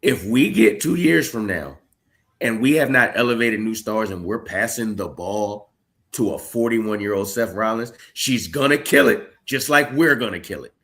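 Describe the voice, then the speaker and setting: Lower-voiced, insistent man in his thirties. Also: expressive, moderate pace, a bit bright. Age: late 30s. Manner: insistent, argumentative. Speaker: podcast co-host. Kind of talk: casual opinionated debate.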